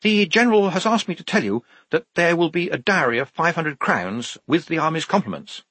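A practical woman army major from a storybook, put on by a man reading aloud, in a raised, slightly husky pitch. She sounds measured, officious and matter-of-fact. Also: sing-song, fairly bright tone, no echo, exaggerated voice. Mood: brisk, official.

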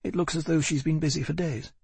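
Gentle sorry-sounding lady in a storybook reading, a bit higher, gravelly underneath, guy putting her on. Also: fairly even intonation, fast talker, no echo, exaggerated voice. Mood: sympathetic. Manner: gentle, sympathetic, earnest. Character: kindly, regretful middle-aged woman.

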